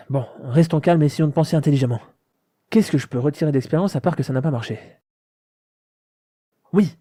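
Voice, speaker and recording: A man's voice: on the high side, mellow, slightly rough, no room sound, clean studio sound. A man playing a determined, thoughtful young man in a scripted audio drama. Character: determined young man telling and living his story. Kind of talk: scripted audio drama, narration and muttering to himself.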